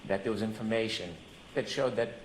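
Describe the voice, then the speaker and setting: A man's voice: lowish voice, steady tone, a little fast. A male prosecutor making a courtroom argument, recorded in an echoey room with background noise.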